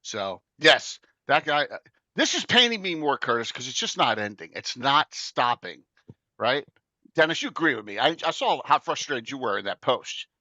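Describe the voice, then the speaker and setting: A man in his late fifties hosting a casual chat show, joking and rambling excitedly. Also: medium pitch, moderate pace, no room sound, lo-fi sound. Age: 50s. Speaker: podcast host.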